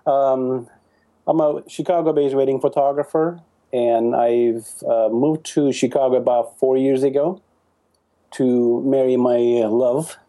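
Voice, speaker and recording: Male guest from Turkey, very dark warm tone, friendly and hesitant podcast chat. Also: medium pitch, little pitch movement, a little slow. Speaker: podcast guest, wedding photographer. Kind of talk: casual interview answers.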